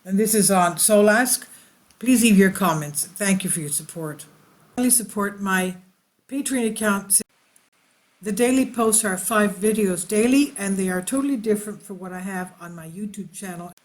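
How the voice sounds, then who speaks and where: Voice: medium pitch, normal speed. Host reading a news story aloud. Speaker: video channel host.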